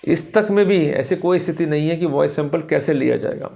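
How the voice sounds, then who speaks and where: A man's voice: on the high side, fairly quick, gravelly, mellow. A man lecturing on law in a didactic, emphatic way, explaining points to viewers and slipping into formal English phrases.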